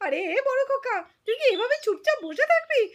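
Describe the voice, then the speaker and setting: A woman's voice: extremely high pitch, big pitch swings, a little fast. A woman voicing an anxious, scolding village mother-in-law in a puppet drama, wailing and repeating her phrases.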